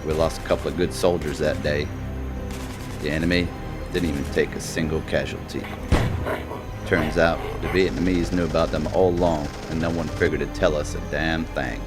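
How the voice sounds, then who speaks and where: A man performs a gruff, war-weary old soldier narrating a war film. The voice sits extremely low, with plain, folksy phrasing and rough grammar. Music plays clearly behind the grim storytelling. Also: fairly even intonation, normal speed. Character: gruff, war-weary old male soldier recounting eerie wartime encounters. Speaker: voice actor. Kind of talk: scripted narration, film monologue voice reel.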